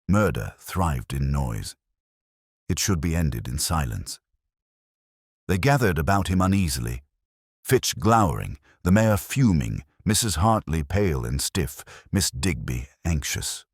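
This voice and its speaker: Very deep-voiced male story narrator. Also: slightly rough, dry recording, clean studio sound. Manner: measured, dramatic storytelling. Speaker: audiobook narrator. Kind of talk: scripted narration of a detective story.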